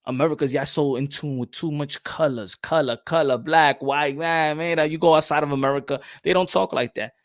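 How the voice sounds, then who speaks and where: Excitable boxing channel host, higher voice. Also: male voice, moderate pace, hardly any pauses. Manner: animated, repeats phrases, reads chat aloud. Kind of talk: casual livestream chat.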